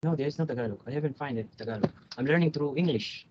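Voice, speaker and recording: Male language student on a video call, halting and filler-heavy, mid pitch, dull call audio with faint noise. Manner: halting, many fillers and restarts. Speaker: language learner. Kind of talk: casual online tutoring chat.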